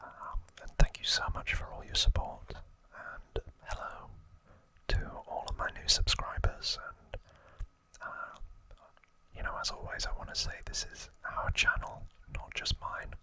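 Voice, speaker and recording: A man speaking in a breathy, gentle whisper, hosting an ASMR trivia explainer close to the microphone. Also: rough recording. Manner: slow, gentle, hushed delivery. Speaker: ASMR video creator and host.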